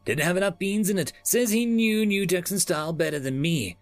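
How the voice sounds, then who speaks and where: A man's voice: sits high, fairly quick, dry recording, soft background music, voice pushed up, close to an everyday voice. A man voicing a boastful, chatty male chef who rambles in folksy slang.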